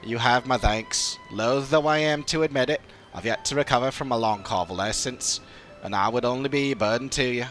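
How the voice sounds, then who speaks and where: A man voicing a courteous, scholarly old father in a fantasy game, speaking in a formal, measured, old-fashioned way. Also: mid-range voice, fairly bright tone, soft background music, close to an everyday voice. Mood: regretful, grateful. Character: courteous old father, scholarly theorist recovering from long illness. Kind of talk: fantasy game dialogue read aloud.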